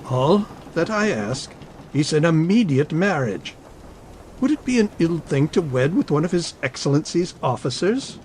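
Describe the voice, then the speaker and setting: Man acting a smooth, menacing villainous captain. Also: fairly high pitch, very animated, normal speed, a little mellow, a little hiss or hum. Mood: coldly coercive. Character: scheming, coercive male army captain, a suave villain. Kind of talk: dramatic audiobook reading. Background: ambience under the voice.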